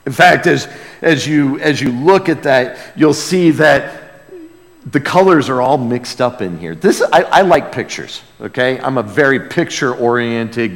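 An American man preaching a conversational sermon as a pastor, earnest and emphatic, with hushed asides and rhetorical questions. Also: medium pitch. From United States. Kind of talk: sermon, conversational Bible teaching. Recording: long hall reverb.

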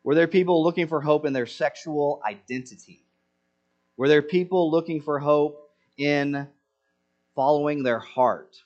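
A man's voice: fairly high pitch, unhurried, a little mellow, lo-fi sound. A man preaching a sermon in an earnest way, asking rhetorical questions to the congregation. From Senegal. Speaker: pastor.

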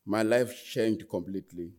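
A man in his twenties with a Zimbabwean accent, giving a personal testimony as a guest speaker in an earnest, halting way that often restarts phrases. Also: on the low side, darkish tone. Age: 20s. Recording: long hall reverb.

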